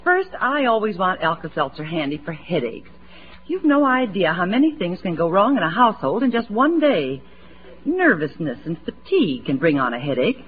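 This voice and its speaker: An actress playing an earnest, chatty housewife giving an emphatic testimonial in a 1940s radio commercial, on a lo-fi recording. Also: female voice, lowish voice, sing-song, moderate pace. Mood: earnest, eager. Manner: emphatic scripted testimonial delivery. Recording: tinny, lo-fi old radio recording.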